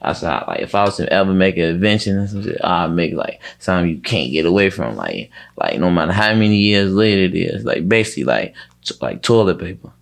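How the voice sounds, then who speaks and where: A man's voice: sits low, lively intonation, a little fast, gravelly. A man talking casually as an interview guest, in a rambling, repetitive, slangy way with frequent swearing.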